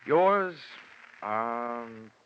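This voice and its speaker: A man's voice: mid-range voice, lively intonation, very slow, slight background noise. An actor playing a wry, wisecracking insurance investigator who narrates his case in a 1940s radio detective drama. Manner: wisecracking, sardonic. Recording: muffled vintage radio recording.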